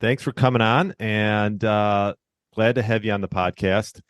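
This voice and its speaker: A man hosting a podcast, welcoming his guest and asking questions in a friendly, relaxed way. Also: lowish voice, unhurried, smooth, dry recording, studio quality. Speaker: podcast host. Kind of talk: casual interview hosting.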